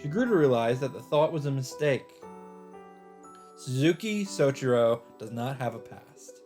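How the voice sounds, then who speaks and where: A man's voice: medium pitch, lively intonation, moderate pace, darkish tone. A man hosting a let's play, reading a visual novel's narration aloud in a dramatic storytelling style. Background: game music.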